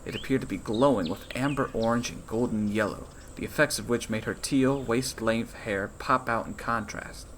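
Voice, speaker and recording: A man's voice: medium pitch, moderate pace, hardly any pauses, a bit bright, slight background noise. A man reading his own fantasy novel aloud as scripted audiobook narration.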